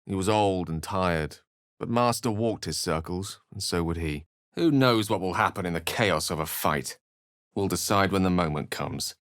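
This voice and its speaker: A man's voice: low voice, normal speed, fairly bright tone, no echo, studio quality. A man voicing an eloquent, bookish wizard in a fantasy video game, wry and courteous, with articulate, dramatic phrasing. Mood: wary. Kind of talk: theatrical fantasy game dialogue and storybook-like narration.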